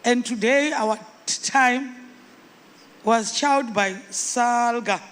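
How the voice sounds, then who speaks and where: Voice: mid-range voice, slow, fairly bright tone. A South African speaker chairing a meeting, calling on people informally and mixing English with Nguni phrases. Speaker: congress chairperson.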